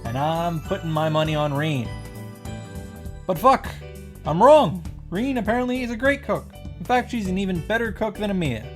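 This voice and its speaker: A woman giving a casual, expressive recap of a video game, reading out text and reacting with exasperation and swearing. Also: fairly low pitch, normal speed, faint music behind.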